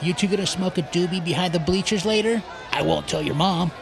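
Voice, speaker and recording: A woman's voice: on the low side, brisk, gravelly, crowd noise. Cocky, teasing teenage girl played by a woman. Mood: mocking, teasing. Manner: teasing, slangy. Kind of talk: naturalistic comedic audio drama acting.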